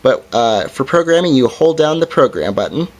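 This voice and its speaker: A man's voice: mid-range voice, sing-song, normal speed, a little mellow. A man giving a casual hands-on product review as its presenter, in an informal, hesitant and somewhat apologetic way.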